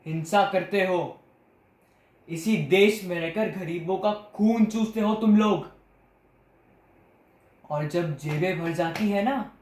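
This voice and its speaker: A man performing a recited patriotic dramatic monologue, impassioned and rhetorical, firing pointed questions between deliberate pauses. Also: high voice, roomy sound.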